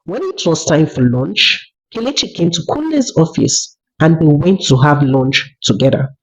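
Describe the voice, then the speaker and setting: A narrator telling a scripted folktale in a measured way, as a storyteller. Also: medium pitch, expressive. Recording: dull lo-fi recording.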